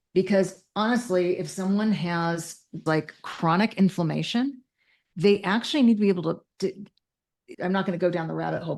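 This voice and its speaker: A woman's voice: lowish voice, darkish tone. A woman speaking as an expert interview guest, conversational but precise and technical, often correcting and qualifying what she says. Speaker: interview guest, mental health clinician.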